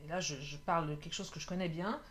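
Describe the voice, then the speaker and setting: A woman reading oracle cards for her viewers in a casual, chatty way, a bit hoarse, often laughing and correcting herself. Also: on the low side, quick pace. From France. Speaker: tarot and oracle card reader. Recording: faint background noise.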